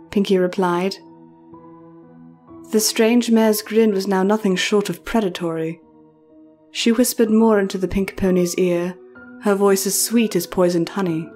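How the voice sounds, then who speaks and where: A woman's voice: mid-range voice, warm tone. A woman reading scripted horror fiction as a storyteller, in narration.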